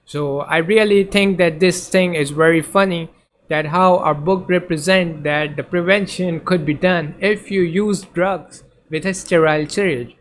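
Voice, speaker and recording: A man's voice: fairly high pitch, fairly even intonation, measured pace, a little hiss or hum. A man whose first language is Urdu, teaching in a casual lecture over slides, hesitant, with many fillers and restarts. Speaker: tutor.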